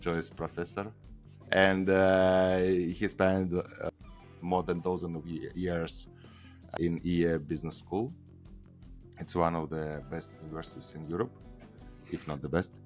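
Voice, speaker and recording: A man's voice: deep voice, level tone, a little slow, faint music behind. A man with a Russian accent hosting a podcast, introducing his guest hesitantly with many fillers. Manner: hesitant, many fillers, non-native phrasing. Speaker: podcast host.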